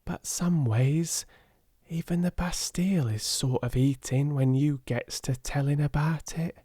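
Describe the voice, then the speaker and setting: Storybook servant girl, played by a man: working-class English, raised pitch, hoarse, meek and eager, close dry sound. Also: a little slow, dark tone, no echo. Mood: grateful. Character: timid, downtrodden young servant girl, eager and grateful.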